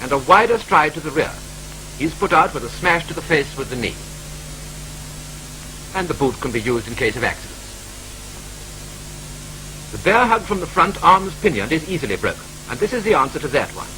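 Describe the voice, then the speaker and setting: Brisk British 1940s newsreel narrator. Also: male voice, fairly high pitch, sing-song, bright, forceful tone, rough recording. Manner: brisk, clipped, wryly matter-of-fact. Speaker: training film narrator. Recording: old film soundtrack with faint hiss.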